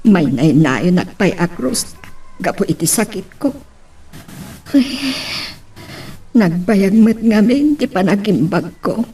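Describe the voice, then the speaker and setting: A woman playing a gentle, sickly middle-aged mother who worries over her child in a radio-style drama. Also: medium pitch, big pitch swings, slow, long pauses. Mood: sorrowful. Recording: distant from the mic.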